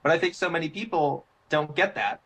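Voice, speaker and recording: A man's voice: a little high, fairly quick, fairly bright tone, husky. A man talking casually and off the cuff, in a bemused way. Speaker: web series co-creator. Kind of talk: casual unscripted discussion.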